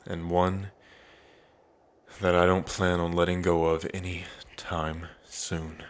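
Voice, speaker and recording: A man playing an earnest, devoted dragon prince in an intimate ASMR roleplay, pausing between phrases. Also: low voice, flat delivery. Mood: tender, lovestruck. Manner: slow, earnest, with long pauses between phrases. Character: lovestruck dragon prince, grieving, tender, devoted.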